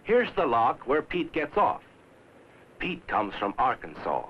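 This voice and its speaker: Animated actor as a riverboat storyteller, old film. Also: male voice, mid-range voice, measured pace, husky, heavily acted. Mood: easygoing storytelling. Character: towboat man narrating a river voyage to a boy. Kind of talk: scripted 1960s educational film narration. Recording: dull old film soundtrack. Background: music.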